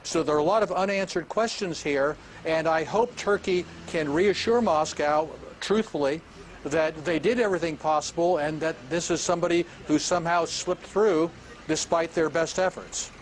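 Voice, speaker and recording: A man, a former diplomat, giving slow, deliberate analysis in a live remote interview, often restarting his sentences. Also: fairly high pitch, slight background noise, rough recording. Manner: measured, deliberate, with frequent restarts. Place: remote studio.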